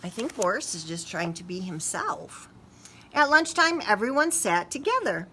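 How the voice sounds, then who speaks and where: A woman reading a picture book aloud to young children expressively, pausing to ask them questions. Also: mid-range voice, big pitch swings, noisy background. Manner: talks to young listeners, asking questions. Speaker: children's story reader.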